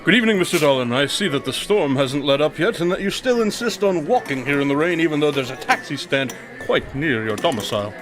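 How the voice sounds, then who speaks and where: A man acting an aloof, pedantic gentleman guest in a radio drama, speaking in a precise, formal, wordy way. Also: fairly high pitch, animated, brisk, few pauses, music in the background, natural voice. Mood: smug, dry.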